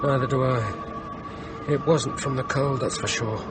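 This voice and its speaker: An actor playing a frightened, insistent man stranded in a snowstorm, giving grave, urgent warnings with dramatic pauses in a radio horror drama. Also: medium pitch, steady tone, noisy background, lightly acted. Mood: shaken, grave.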